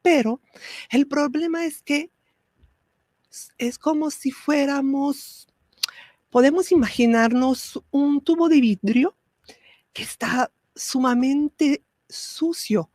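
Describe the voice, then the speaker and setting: Italian-native woman teaching spiritual stuff, real slow and calm, dry close sound. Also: a little high, dry recording. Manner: slow, measured, with pauses and fillers.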